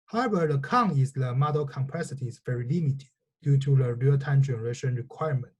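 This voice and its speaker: Male lecturer, native Mandarin speaker, measured explanatory delivery, mellow tone. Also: mid-range voice, expressive, breathy edge. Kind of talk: technical lecture.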